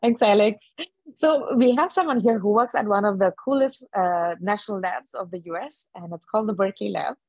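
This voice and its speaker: Female host, medium-pitched, introducing panel speakers, very dry close sound. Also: a little fast, a little mellow, no room sound, low-quality recording.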